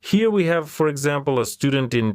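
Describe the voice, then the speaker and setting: A man's voice: on the high side, animated, fairly quick, no echo, studio quality. A man who speaks Ukrainian natively, giving commentary on clips in English with a wry, sarcastic edge. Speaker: political commentator.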